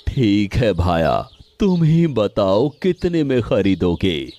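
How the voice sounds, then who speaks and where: Guy playing a sad humble village farmer in a cartoon, really slow n subdued, little bit of music under it. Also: on the low side, big pitch swings, mellow, exaggerated voice. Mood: dejected. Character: poor, humble, dejected male farmer, kind to his animals. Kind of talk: cartoon moral story acting.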